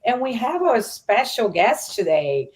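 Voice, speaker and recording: A woman's voice: on the high side, sing-song, unhurried, a little mellow. A woman from Argentina hosting a live stream, talking in a chatty way and often restarting her sentences. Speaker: host.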